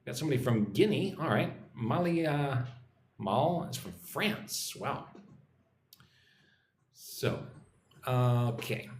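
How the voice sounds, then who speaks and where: A man's voice: medium pitch, expressive, slow pace, pauses often, a little mellow. A man with an American accent hosting a casual English-teaching livestream, reading out comments and greeting viewers in an informal, chatty way. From United States. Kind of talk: casual live chat, reading out and answering comments. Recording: roomy echo, faint background noise.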